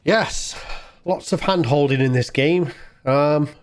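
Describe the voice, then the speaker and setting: A man's voice: fairly high pitch, takes it slowly. A man giving casual, off-the-cuff commentary as he streams a game.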